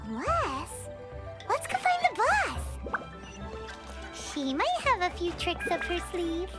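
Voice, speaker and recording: A woman voicing a tiny, childlike fairy companion in a video game, squeaky, bubbly and exclamatory, eager and fond of food. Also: wildly swooping pitch, slow pace, full character voice. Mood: musing, eager. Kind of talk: animated video game dialogue. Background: music.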